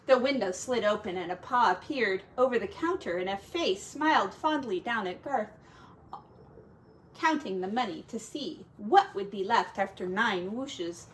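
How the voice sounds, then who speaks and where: A woman teacher reading a children's story aloud in an expressive storytelling style. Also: mid-range voice, a little slow, a little hiss or hum, rough recording.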